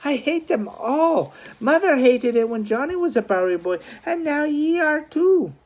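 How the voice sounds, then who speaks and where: A woman as a young woman, high voice, fretful, chiding, emphatic storybook character. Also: lively intonation, normal speed, a little mellow. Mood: exasperated, bossy. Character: scolding young Irish woman, fretful older sister.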